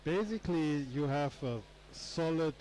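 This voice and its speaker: A man whose first language is not English, adding color commentary to a live match, halting as he searches for words. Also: on the high side, lively intonation, a little mellow, slight background noise, poor audio.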